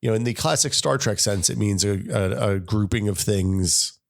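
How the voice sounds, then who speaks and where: A man's voice: on the low side, moderate pace, vocal fry. A man co-hosting a casual tech podcast, sounding scratchy from a summer cold, rambling with many restarts and fillers. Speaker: podcast co-host.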